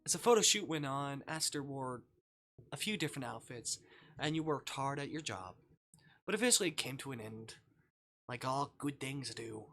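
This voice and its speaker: A game streamer reads a story aloud in a mid-pitched voice. The delivery is informal, slipping between narration and personal asides. Music plays clearly behind the speech. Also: expressive, normal speed, a bit bright. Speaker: streamer reading a visual novel aloud. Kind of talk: live read-aloud narration with casual asides.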